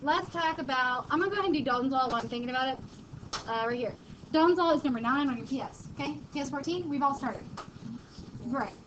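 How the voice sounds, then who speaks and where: A woman's voice: sits high, fast talker, fairly bright tone. Female chemistry teacher, casual and joking, quizzes the class; dull, noisy room recording. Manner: chatty, joking, quizzes students. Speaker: high school chemistry teacher. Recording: muffled classroom recording, background noise.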